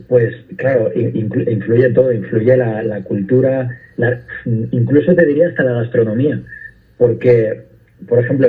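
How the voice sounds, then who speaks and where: Man on a tinny phone line. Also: medium pitch. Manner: thoughtful, explains at length with restarts. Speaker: actor guest. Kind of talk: radio interview answers.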